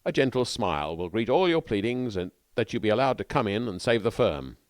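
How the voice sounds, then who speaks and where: A man's voice: on the low side, big pitch swings, a little fast, dry recording, voice pushed up. A man voicing a melancholy young New York newspaperman who talks in a wordy, dryly ironic way. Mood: wry advising.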